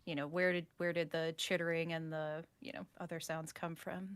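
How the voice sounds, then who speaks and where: A woman's voice: low-pitched, little pitch movement, moderate pace. A woman hosting a casual live discussion in a playful, enthusiastic, geeky manner. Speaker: host.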